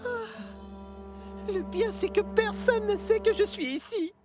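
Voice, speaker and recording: A woman's voice: extremely high pitch, takes it slowly, over music. A woman voicing a stubborn, impatient young male steam engine in a French-dubbed children's cartoon, with a childlike, exclamatory delivery. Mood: worried.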